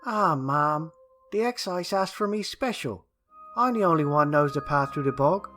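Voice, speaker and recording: A man's voice: fairly high pitch, lively intonation, moderate pace, pushed and bright, faint music behind, pitched above the performer's own voice. A man voicing a cocky, eager country boy with rustic dialect in a historical story. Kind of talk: storybook character dialogue.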